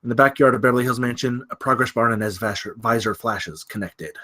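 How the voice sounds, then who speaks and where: A man's voice: mid-range voice, fast, pushed and bright. A man narrating scripted stage directions at a film table read.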